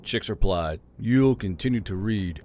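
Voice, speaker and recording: A man's voice: lowish voice, expressive, moderate pace, a little mellow. Man narrating an audiobook.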